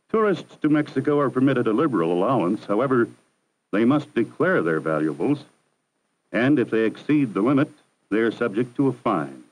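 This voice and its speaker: A man with a fairly low voice narrates a 1960s educational film. His delivery is measured, formal and instructive, the steady voice of a scripted documentary. The sound comes from an archival film soundtrack. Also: poor audio.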